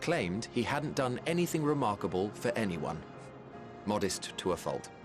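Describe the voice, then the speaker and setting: An actor playing a genial, wry mage in a video game, speaking in eloquent, formal phrases with fond admiration. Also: male voice, on the low side, big pitch swings, music in the background. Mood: fond, proud. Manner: eloquent, formal, measured storytelling. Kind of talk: video game cutscene acting.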